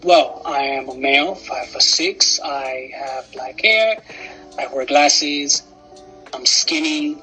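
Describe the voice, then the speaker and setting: A man's voice: medium pitch, slow pace, pushed and bright, soft background music, poor audio. A young man with a Dominican New York accent, a guest in a casual interview, playful and upbeat. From New York, Upper Manhattan. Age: young adult.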